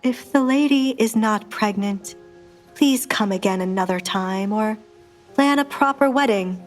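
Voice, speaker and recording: A woman's voice: mid-range voice, unhurried, faint music behind, close to an everyday voice. A woman voicing a wary, well-meaning male priest in an audio drama, with a dry, reproachful, muttering manner. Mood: disapproving.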